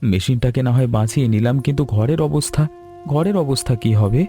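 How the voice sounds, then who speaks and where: A man voicing a humble, anxious weaver and husband in an animated story, speaking in an earnest, plaintive way. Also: mid-range voice, quick pace, soft background music, lightly acted. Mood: despairing. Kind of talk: Bengali animated story dialogue.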